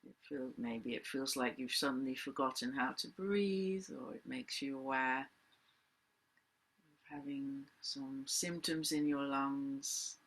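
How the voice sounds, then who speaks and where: Very slow, raspy woman guiding meditation. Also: extremely low pitch, a bit bright. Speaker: meditation teacher. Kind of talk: guided meditation, unscripted spoken instruction.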